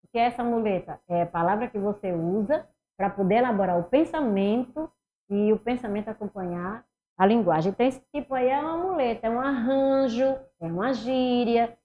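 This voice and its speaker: A middle-aged woman with a Northeastern Brazilian accent teaches an online exam-prep class and walks her students through each answer in a lively way. Her voice sits a little high. The recording sounds somewhat muffled and lo-fi. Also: brisk, a little hiss or hum. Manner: animated, talks students through each step, mimics speech habits. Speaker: Portuguese teacher.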